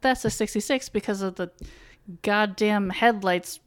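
A woman in her thirties with an American accent talks casually as a podcast co-host. Her pitch sits in the middle for a woman, and she slips into mock quotes and salty language as she goes. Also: unhurried, smooth. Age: 30s. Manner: animated storytelling with mock quoted voices and swearing. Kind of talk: casual chat about films and sports.

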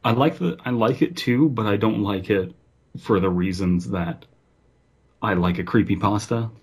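Male co-host, low husky voice, dry humor, casual halting delivery. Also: darkish tone. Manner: dry teasing, hesitant starts. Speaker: podcast co-host. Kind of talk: casual chat.

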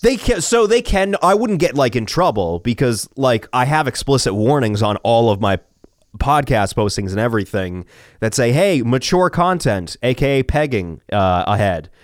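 A man co-hosting a casual podcast, rambling with fillers and quick laughs. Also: medium pitch, sing-song, no echo, clean studio sound. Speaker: podcast co-host and teacher.

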